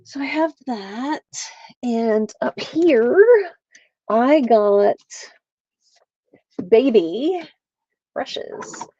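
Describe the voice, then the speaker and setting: A woman's voice: fairly high pitch, lively intonation, extremely slow, pauses often, dark tone, lo-fi sound. A middle-aged woman from Pennsylvania, chatting casually with viewers while she crafts on a livestream. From central Pennsylvania.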